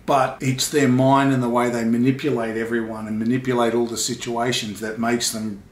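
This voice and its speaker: A man's voice: mid-range voice, little pitch movement, normal speed. Middle-aged man in an echoey room. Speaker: father reviewing books with daughter. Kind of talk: casual book discussion.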